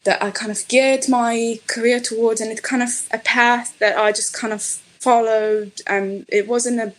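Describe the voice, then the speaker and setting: A woman's voice: on the high side, level tone, unhurried, poor audio. A middle-aged woman from Israel, a native Hebrew speaker, speaking thoughtfully in an interview with many fillers and restarts. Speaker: podcast guest, fashion designer. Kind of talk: conversational interview.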